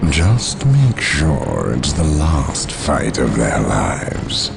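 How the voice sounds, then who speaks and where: An actor playing a sinister human villain in a video game cutscene, measured and formal, with a gravelly, menacing voice. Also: male voice, very deep voice, wildly swooping pitch, full character voice. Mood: cold, pressing. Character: sinister male human villain bargaining with orcs. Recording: music behind the voice. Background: music.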